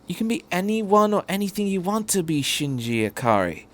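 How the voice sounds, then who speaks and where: A man acts out an eccentric time-travelling man in a fan fiction story. His voice sits fairly high and he speaks with earnest emphasis, reassuring and energetic, repeating words as he drives a point home. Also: brisk. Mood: firm, encouraging.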